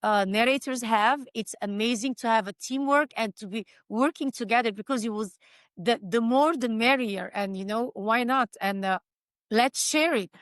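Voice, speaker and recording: A woman's voice: fairly high pitch, moderate pace, no room sound. Armenian-accented woman, earnest, acts out lines while she explains, dry close sound. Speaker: author. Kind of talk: panel discussion.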